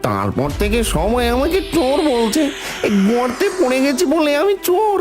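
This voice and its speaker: A woman's voice: high-pitched, wildly swooping pitch, moderate pace. A woman voicing a sly, greedy, boastful fox thief in a cartoon fable, with a scheming, wheedling manner. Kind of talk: animated children's fable dialogue. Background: music.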